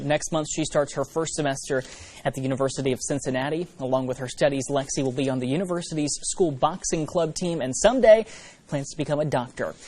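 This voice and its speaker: A man's voice: on the high side, darkish tone. A man presenting scripted news as a television anchor.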